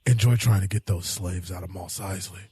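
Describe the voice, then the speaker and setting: A very raspy, dry-recorded detective acted by a man in an audio drama. He is cynical and pragmatic, a hard-boiled cop who defends his own methods in a lowish voice. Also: steady tone, normal speed, mellow, dry recording. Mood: defensive, frustrated.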